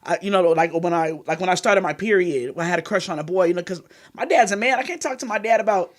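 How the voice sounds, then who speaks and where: Woman, 30s, from California, fast talker, candid interview guest, rambling. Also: fairly low pitch. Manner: frank and rambling, leans on "you know what I mean".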